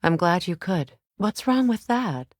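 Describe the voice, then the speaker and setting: A resolute sorceress and protective mother in a dramatic fantasy video game. Her voice sits on the low side and is captured very dry. She speaks with measured, earnest authority, as someone battle-worn and used to command. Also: animated, no echo. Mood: gentle, firm.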